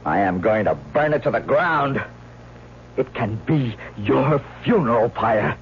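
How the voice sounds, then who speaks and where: An actor playing an embittered, haunted old painter, narrating gravely and deliberately in a radio mystery drama. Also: male voice, medium pitch, expressive, background noise. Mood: cold, vengeful. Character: embittered, haunted old painter telling his tragic past. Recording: muffled vintage radio recording, loud music.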